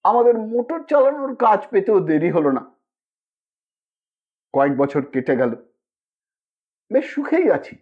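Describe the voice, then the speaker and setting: A man's voice: sits high, very animated, unhurried, pauses often, phone-quality sound. A man narrating a scripted literary short story in a dramatic storytelling manner.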